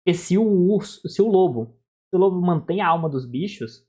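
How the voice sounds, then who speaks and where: A man's voice: high voice, expressive, fairly quick, warm tone, slightly rough. A man with a Brazilian accent reacting casually, in an informal, slangy way, often repeating himself. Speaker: reaction streamer. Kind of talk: casual live reaction chat.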